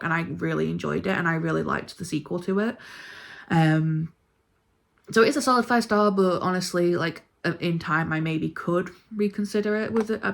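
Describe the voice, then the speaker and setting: A woman with a slightly low voice talks in a casual, informal vlog style. She reviews books in an emphatic, gushing manner, as if chatting to followers. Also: vocal fry. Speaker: book reviewer vlogger. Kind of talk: casual chat ranking books.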